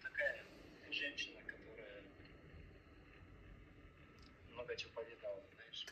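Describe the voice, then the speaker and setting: A man's voice: mid-range voice, fairly quick. A man chatting casually and flirtatiously in a tentative way, heard over a phone on speaker. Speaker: admirer on a phone call. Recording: noisy phone call on speaker.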